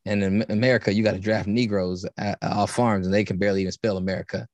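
A man with a lowish voice talks in loose, rambling podcast chat, stopping and restarting his sentences often. The sound is dry and close, with no room around it. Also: fairly even intonation, no room sound. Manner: rambling, halting, many restarts.

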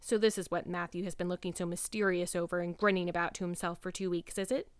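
A woman's voice: medium pitch, steady tone, brisk, smooth, no echo, natural voice. Woman playing a stiff, scolding practical older guardian. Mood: stiff, scornful.

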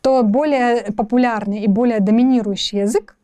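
A young woman from Ukraine answering interview questions, her voice pitched a little high but mellow and soft in tone. She talks thoughtfully, pausing often, repeating words and restarting her sentences as she works through her answers. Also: fairly even intonation. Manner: thoughtful, with frequent restarts and hesitations. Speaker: interview guest. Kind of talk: conversational interview.

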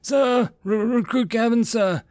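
A man's voice: extremely high pitch, unhurried, a little mellow, no echo, clean studio sound, pitched above the performer's own voice. A man voicing a proud knight captain turned recruit in an audiobook, speaking formally and declaiming. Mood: strained.

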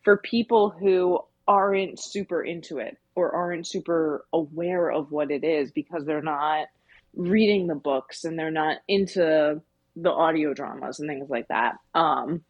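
A woman's voice: deep voice, unhurried, dry recording, lo-fi sound. A woman co-hosting a podcast, explaining things casually in long winding sentences. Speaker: podcast co-host.

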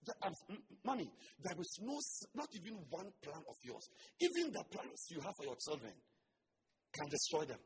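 A man with a Ghanaian accent preaching a sermon as a pastor. He swings from quiet, joking asides to loud shouts. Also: on the high side, wildly swooping pitch, moderate pace, slightly rough.

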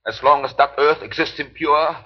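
An actor playing a grave, commanding doctor who hunts vampires, formal and urgent in old-time radio drama style. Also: male voice, a little high, moderate pace, husky. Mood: fervent. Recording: muffled, grainy old radio recording.

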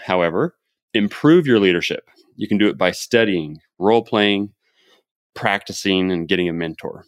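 Guy hosting a podcast, lowish voice, upbeat coaching vibe, dry close mic. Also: dry recording. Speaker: podcast host. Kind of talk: casual podcast chat and summary.

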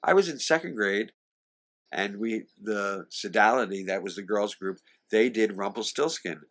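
A man in his sixties with a fairly low voice tells stories from his life in an interview. He trips over words now and then with a mild stutter and restarts. He is an animated storyteller who slips into the voices of the people he quotes. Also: moderate pace. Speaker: singer and actor, interview guest. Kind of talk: reminiscing in a conversational interview.

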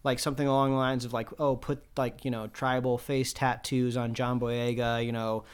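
A man co-hosting a casual podcast, talking quickly and enthusiastically with many fillers. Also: medium pitch, little pitch movement, smooth. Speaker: podcast co-host.

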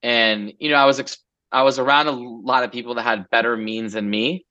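A man in his forties from Los Angeles talks through his story as an interview guest, looping and relaxed with plenty of fillers. His voice is bright and forceful at a middling pitch. The recording is somewhat dull and lo-fi. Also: a little fast. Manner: relaxed, rambling, many fillers. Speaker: guest entrepreneur. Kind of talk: casual interview chat.

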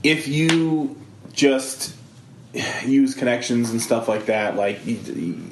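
A man's voice: mid-range voice, slow pace, a little hiss or hum. A man hosting a podcast in casual chat, easygoing, laughing often and restarting his sentences. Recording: roomy echo with faint background ambience.

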